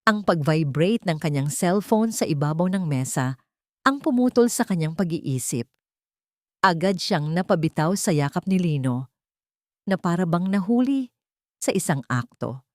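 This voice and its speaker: A Filipino woman narrates a dramatic story in a lower-pitched voice. The recording is dry and close, with very little room sound. She reads from a script in a storytelling style. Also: expressive, moderate pace, darkish tone, no echo.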